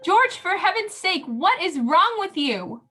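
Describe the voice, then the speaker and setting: A woman playing a gentle, worried wife and mother in a radio play, soothing and concerned. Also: very high-pitched, very animated, unhurried, faint music behind, heavily acted. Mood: anxious. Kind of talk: radio drama acting.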